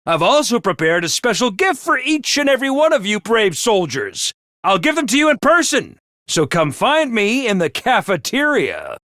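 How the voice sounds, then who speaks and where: Very high, bouncy game announcer voice, bright and bubbly, unhinged cheer, dry studio sound. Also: male voice, wildly swooping pitch, normal speed, no room sound, heavily acted. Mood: cheery. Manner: bubbly announcements, bursts into gleeful shouting. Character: chipper, unhinged male academy announcer, playful and manic. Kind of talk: video game voice lines.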